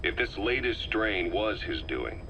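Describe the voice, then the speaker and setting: A man playing a shrewd, gruff intelligence officer in a video game, probing and matter-of-fact. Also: on the low side, sing-song, measured pace, sharp tone, dull audio, heavily acted. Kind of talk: dramatic video game voice acting. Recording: faintly noisy field tape.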